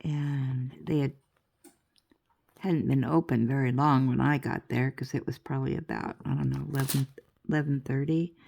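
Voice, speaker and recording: Older woman vlogger, low gravelly voice, stuffy and chatty, unhurried rambling delivery. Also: fairly even intonation, dark tone. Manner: rambling, chatty, laughs often. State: stuffy nose. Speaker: crafting vlogger. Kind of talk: casual chatty vlog.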